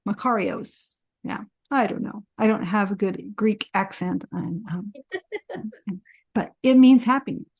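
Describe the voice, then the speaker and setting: A woman co-hosting a podcast, talking earnestly and reflectively. She explains ideas and reads out quoted passages. Her voice sits at a middle pitch and sounds dry and close, with no room echo. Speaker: podcast co-host.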